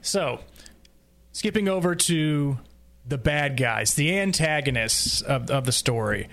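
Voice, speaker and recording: A man hosting a casual roundtable discussion, speaking haltingly as he searches for words. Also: a little high, lively intonation, a bit bright. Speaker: podcast host.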